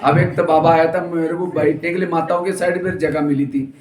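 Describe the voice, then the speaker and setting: A man's voice: fairly high pitch, steady tone, fairly quick, darkish tone. A man giving a spiritual discourse, telling funny stories and acting out what people said. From India. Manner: storytelling with jokes and acted quotes. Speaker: spiritual teacher. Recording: roomy hall echo.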